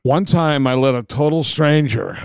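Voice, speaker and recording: A middle-aged man, telling stories casually on a podcast in a blunt, profane, animated way. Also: mid-range voice, moderate pace, creaky, clear, resonant tone, no room sound. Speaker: podcast co-host. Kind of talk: casual comic storytelling among friends.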